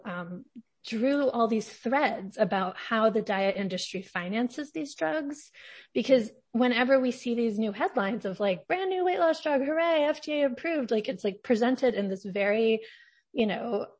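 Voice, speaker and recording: A woman in her forties, a journalist hosting an interview podcast in a calm, lowered radio voice. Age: 40s.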